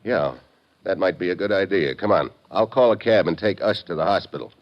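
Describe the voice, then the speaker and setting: An actor playing a tough, wry, laconic American agent in a 1950s-style radio drama. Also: male voice, sits low, brisk, lightly acted. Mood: wry, probing. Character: tough, wry American male special agent posing as newspaperman. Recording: dull, muffled vintage radio recording.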